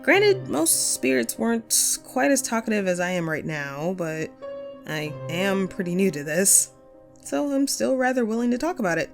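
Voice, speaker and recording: A woman's voice: mid-range voice, big pitch swings, normal speed, creaky, faint music behind. A woman playing a chatty, upbeat ghost of a radio host and ghost hunter in an audio roleplay, playful and musing in manner. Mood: wistful, chatty. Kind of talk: scripted one-sided audio roleplay, conversational.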